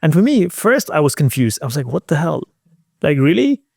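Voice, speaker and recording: A man's voice: on the high side, very animated, brisk, creaky, a little mellow, dry recording, clean studio sound. A man hosting a casual conversation, telling personal stories in an informal, emphatic way. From outside the UK, moved to London as an adult. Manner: informal, emphatic, mild swearing. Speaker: interview host.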